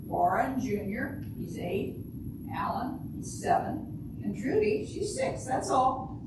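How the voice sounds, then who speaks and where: Very echoey, distant noisy recording, older woman playing naive housewife, higher-pitched, blunt, literal-minded, stage reading. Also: big pitch swings, takes it slowly. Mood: matter-of-fact. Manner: literal-minded, plain, ungrammatical phrasing. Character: naive, blunt housewife and mother, matter-of-fact about shooting husband. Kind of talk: amateur readers' theater.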